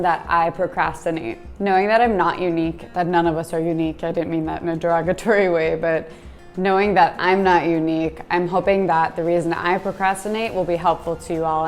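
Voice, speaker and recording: Candid young woman, low-pitched voice. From United States. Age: 20s. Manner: candid, self-deprecating, storytelling with small laughs. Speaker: speaker giving a self-help talk. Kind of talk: reflective personal monologue.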